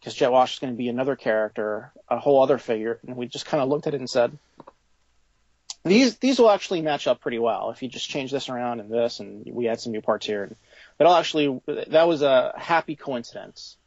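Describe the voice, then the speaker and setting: A man with a mid-pitched voice talks informally as an interviewed designer. He thinks aloud haltingly. The sound is dry and a little dull. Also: lively intonation, darkish tone, no echo. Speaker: toy designer being interviewed. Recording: slightly dull, lo-fi recording.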